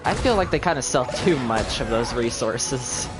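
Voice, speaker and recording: Man, game livestreamer, casual chat, mid-pitched voice, rambling, self-deprecating, music in background. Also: animated, normal speed.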